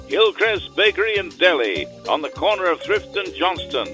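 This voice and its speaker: A man's voice: high voice, big pitch swings, normal speed, pushed and bright. A man reading a scripted radio commercial as an announcer. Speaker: advertisement announcer. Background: music bed.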